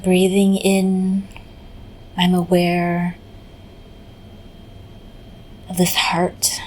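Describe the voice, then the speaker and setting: A woman leading a guided meditation in a gentle, unhurried manner, often pausing in the middle of phrases. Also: lowish voice, completely flat delivery. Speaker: meditation teacher.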